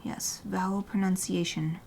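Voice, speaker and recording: A woman artist chatting casually during a livestream as she paints, rambling and often dropping to a soft murmur, with light laughter. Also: fairly low pitch, fairly even intonation, a little slow, gravelly, slight background noise. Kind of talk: casual chat while painting.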